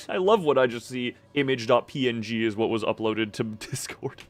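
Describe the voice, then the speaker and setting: A man's voice: mid-range voice, moderate pace. A man doing casual live commentary as a game streamer, exclamatory and rambling. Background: faint music.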